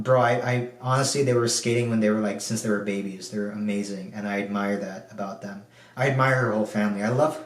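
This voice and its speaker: A young man from the Philippines speaks casually into his camera at home, wandering through his thoughts with plenty of ums and likes. His voice sits at an ordinary middle pitch. The room around him is bare and echoey, so his words bounce back. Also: far from the mic. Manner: relaxed, rambling, frequent um and like fillers. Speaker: video diarist. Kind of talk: casual personal vlog.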